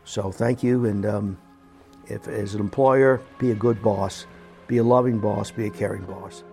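A middle-aged man speaking in an earnest, halting, plain-spoken way, as a business owner giving sincere personal remarks. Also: lowish voice, fairly even intonation, gravelly, a little mellow, soft background music. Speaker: business owner reading staff emails and reflecting.

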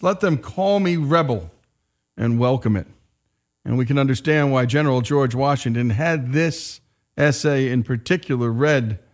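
A man's voice: medium pitch, a little mellow. A man hosting a radio show, giving commentary in an emphatic, reflective manner. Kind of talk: scripted radio commentary over music. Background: acoustic guitar music.

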